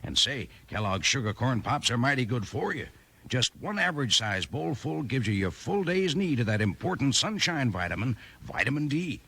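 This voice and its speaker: Casting: male 1950s radio ad announcer, hearty and homespun, lively intonation, husky edge. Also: normal speed. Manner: folksy, hearty sales pitch. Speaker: commercial announcer. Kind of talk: 1950s radio ad read to children.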